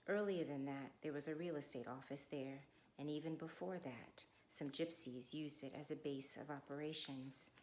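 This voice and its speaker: Middle aged lady w a low voice, careful deliberate phrasing, noticeable background noise. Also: fairly even intonation, darkish tone. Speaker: guest reader. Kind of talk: informal introduction, then literary read-aloud.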